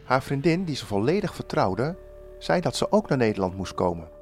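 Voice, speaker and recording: Measured male documentary narrator. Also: medium pitch, sing-song, faint music behind. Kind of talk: scripted voice-over narration.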